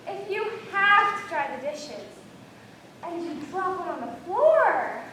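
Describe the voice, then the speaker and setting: A woman on stage playing a dramatic, needy child who recites comic verse in an exaggerated, drawn-out way. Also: very high-pitched, big pitch swings, heavily acted. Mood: dramatic, woeful. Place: large hall, school stage play. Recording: echoey noisy hall from far off.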